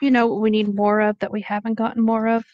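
Woman with highish voice, slow, chatting n reading aloud, kinda muffled home mic. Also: little pitch movement. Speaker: co-host reading bad fan fiction aloud. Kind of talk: casual banter and halting read-aloud. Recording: dull, roomy home recording.

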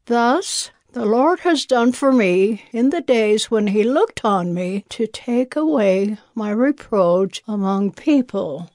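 A woman's voice: fairly high pitch, lively intonation, a little mellow, no room sound. An actress playing a devout elderly expectant mother in a dramatized audio Bible, speaking with reverent wonder. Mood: grateful. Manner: slow, exclaiming reverently.

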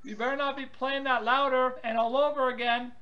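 A woman's voice: sits high, steady tone, a little fast, fairly bright tone, soft background music. A woman chatting casually on a phone call in an exclamatory, incredulous manner. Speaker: prank call participant.

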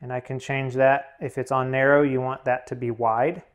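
A man's voice: medium pitch, little pitch movement, normal speed. A man narrating a hands-on tutorial, explaining plainly one step at a time. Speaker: instructor, demonstrator.

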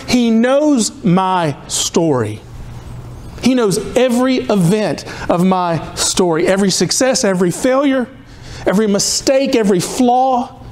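Fifties male pastor, slightly high voice, pleading and rhythmic, faint hiss in a church hall. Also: darkish tone. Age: 50s. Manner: impassioned, rhythmic repetition, pleading. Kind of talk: sermon. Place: church hall in front of a cross.

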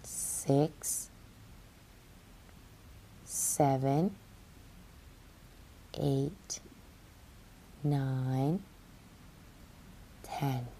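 A woman reads a guided relaxation meditation calmly and soothingly, with long pauses and soft, breathy phrase endings. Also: very deep voice, slight background noise. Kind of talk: guided relaxation meditation, scripted read-aloud. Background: music under the voice.

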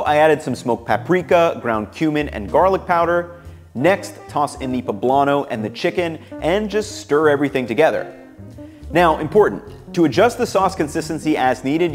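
A young man in his twenties, upbeat and relaxed, narrating his home cooking and chatting to the camera in his kitchen. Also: mid-range voice. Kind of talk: cooking vlog voice-over and casual to-camera chat.

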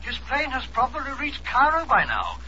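An actor playing a hesitant, mild, fussy club member in an old-time radio drama. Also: male voice, very high voice, huge pitch swings, normal speed, sharp tone, exaggerated voice. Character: hesitant, high-voiced, fussy male explorers club member. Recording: muffled, noisy telephone-style radio recording.

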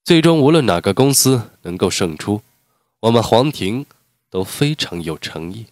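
A man's voice: lowish voice, very animated, normal speed, darkish tone, no echo, clean studio sound. A man voicing a cool, formal young architect. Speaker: voice actor in an audiobook. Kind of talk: audiobook dialogue reading.

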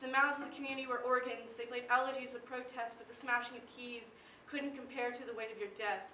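A woman's voice: on the high side, steady tone, quick pace, sharp tone. A young woman performing slam poetry with an impassioned, emphatic and rhythmic delivery. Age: teen or young adult. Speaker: slam poet. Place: live poetry event in a room. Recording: echoey room, distant mic, faint noise.

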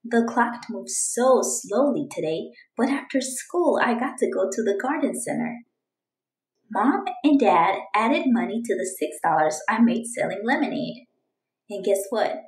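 Woman playing an eager, high-voiced young storybook girl. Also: animated, a little slow, reverberant, poor audio. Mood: excited, proud. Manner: exclamatory, chatty, dramatic announcements. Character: eager, dramatic young girl keeping a strawberry journal. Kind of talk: children's storybook read-aloud.

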